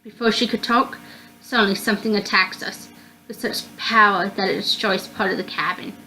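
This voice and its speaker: A woman reading a visual novel aloud as dramatic first-person narration, slightly halting in her delivery. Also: mid-range voice, fairly even intonation, pushed and bright, soft background music, rough recording.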